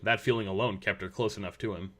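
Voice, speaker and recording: Guy narrating a fiction story, lowish pitch, scripted read. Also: steady tone, fast, fairly bright tone.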